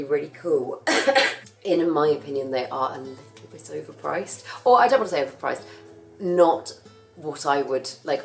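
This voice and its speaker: A young woman with a husky voice from a cough, chatting casually about her plants as she works. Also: deep voice. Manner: chatty, rambling, self-correcting. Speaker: plant care vlogger. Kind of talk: casual chat while working.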